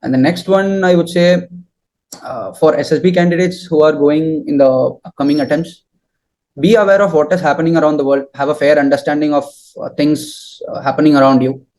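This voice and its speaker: A young man answering interview questions and giving advice in halting, deliberate phrases. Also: on the high side, steady tone, darkish tone, low-quality recording. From southern India.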